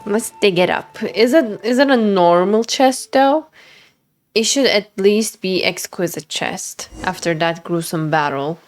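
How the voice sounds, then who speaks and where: A woman's voice: medium pitch, measured pace. A woman streamer giving casual live gameplay commentary, reacting aloud to the game. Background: faint game music.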